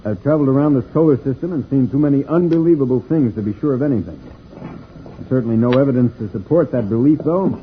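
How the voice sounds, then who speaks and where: An actor playing a calm, seasoned, fatherly spaceship commander who explains things reassuringly in an old-time radio serial. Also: medium pitch, fast, close to an everyday voice. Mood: calm, measured. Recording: tinny, noisy old radio recording.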